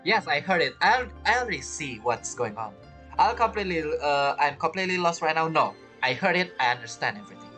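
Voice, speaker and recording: Animated gamer with halting phrasing. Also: mid-range voice. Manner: non-native, halting English phrasing. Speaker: video game streamer. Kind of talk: casual gameplay commentary. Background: game music.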